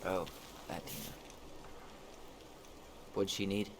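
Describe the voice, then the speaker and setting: Low-voiced guarded young American man, noisy background. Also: little pitch movement, slow pace. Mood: dry, casual. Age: young adult. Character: young man, guarded, dry-witted, brooding twin brother. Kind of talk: naturalistic video game dialogue.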